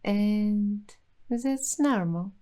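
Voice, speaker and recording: A woman hosting a soft-spoken ASMR roleplay, talking dreamily and intimately to the listener. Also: mid-range voice. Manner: slow, dreamy, intimate, addressing the listener directly.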